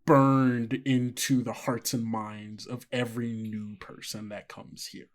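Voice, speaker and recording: A man's voice: medium pitch, flat delivery, measured pace. A man running a tabletop game, improvising narration and thinking aloud in a conversational way. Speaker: game master.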